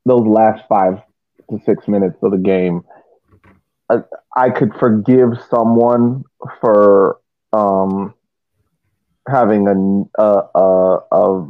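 Man, deep voice, measured hesitant sports analysis, dry sound, thin phone-like audio. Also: steady tone, no room sound. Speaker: sports podcast panelist. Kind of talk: casual match analysis.

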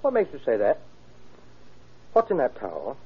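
An actor playing a practical young doctor, a fond son reassuring his mother in a brisk, offhand way in an old radio drama. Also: medium pitch, huge pitch swings, breathy edge, heavily acted. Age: young adult. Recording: thin, tinny old radio recording.

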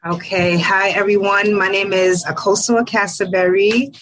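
A woman with a slightly husky voice, chatting casually as an interview guest. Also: medium pitch, fairly even intonation, measured pace, a bit bright, rough recording. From Harlem, New York. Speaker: podcast guest, publishing CEO.

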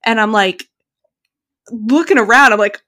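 A woman's voice: on the high side, unhurried. Chatty young woman telling a story. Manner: animated storytelling, frequent "like" and "literally". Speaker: podcast co-host. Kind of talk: casual chat.

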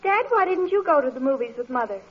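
A woman's voice: sits high, animated, quick pace, soft background music. An actress playing an earnest teenage schoolgirl daughter in a 1940s radio comedy. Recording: dull vintage radio recording.

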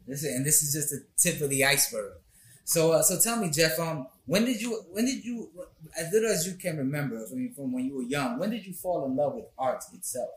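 A man's voice: fairly high pitch, lively intonation, a little fast. A man hosting a podcast interview, effusive and casual in his address, with a showman's way of introducing things. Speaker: podcast host. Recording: echoey room.

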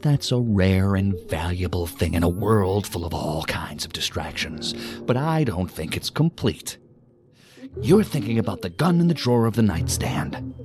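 A man plays a chatty, sardonic intruder in an audio drama, his voice low and swinging freely up and down. He rambles and taunts with deadpan menace over clearly audible music. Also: moderate pace. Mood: taunting, playful. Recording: music under the voice.

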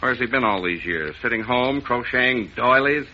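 An actor playing a gruff, stubborn, exasperated police inspector in 1940s radio style, blunt and hectoring. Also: male voice, mid-range voice, animated, moderate pace, bright, forceful tone, a little hiss or hum. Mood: blunt, sarcastic. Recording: muffled old radio drama recording.

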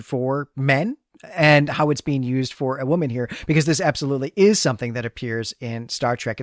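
A man's voice: mid-range voice, no echo, clean studio sound. An American man co-hosting a literary podcast, talking casually and analytically in a wordy, anecdotal way. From United States. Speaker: podcast co-host.

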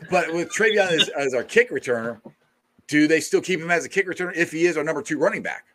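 A man's voice: fairly high pitch, expressive, fast, fairly bright tone, a little hiss or hum. A man hosting a sports podcast, asking questions and chuckling as he talks. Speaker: podcast host. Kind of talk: casual podcast chat.

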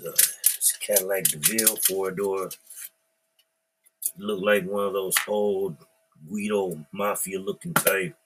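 A middle-aged man talks on his own podcast in a very low, deep voice. He drawls slowly and loosely, swearing freely as he muses out loud. Faint room noise sits under the recording. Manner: slow, laid-back and profane, musing aloud. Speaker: podcast host. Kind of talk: casual rambling monologue.

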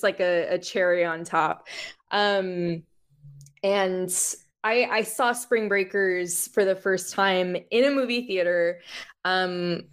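A young American woman chatting as a guest on a podcast. She takes her time, speaking slowly at a medium pitch. Her delivery is informal and meandering, full of conversational detours. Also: clear, resonant tone. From United States (Florida). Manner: rambling, informal, frequent "like". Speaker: podcast guest, music journalist. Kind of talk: casual conversational interview.

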